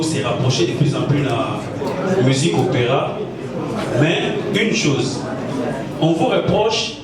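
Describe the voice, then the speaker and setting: Journalist asking in French and Lingala. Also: male voice, on the high side. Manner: mixes French and Lingala, hesitant. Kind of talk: press question. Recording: echoey hall, far from mic, crowd noise.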